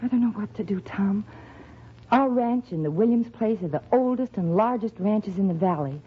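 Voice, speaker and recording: An earnest, troubled American ranch girl in a radio Western. Her voice is husky and urgent. The recording is very thin and narrow, like a phone line. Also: medium pitch, animated, moderate pace. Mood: distressed, pleading. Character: distressed, earnest rancher's daughter. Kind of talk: 1940s radio Western acting.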